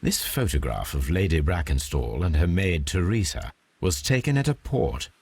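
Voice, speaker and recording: An actor playing a composed, analytical detective who muses aloud in a measured, precise manner in a video game. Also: male voice, sits low, very animated, a little mellow, slight background noise. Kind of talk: video game cutscene acting, musing aloud.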